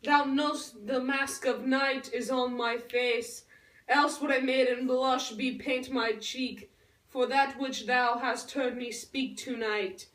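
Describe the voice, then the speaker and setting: A woman's voice: sits high, steady tone, a bit bright. A woman voicing a yearning, dramatic teenage Juliet puppet, declaiming Shakespearean verse theatrically in an amateur school show. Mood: earnest. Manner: slow, theatrical declaiming with big swells. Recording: echoey room, far from the mic.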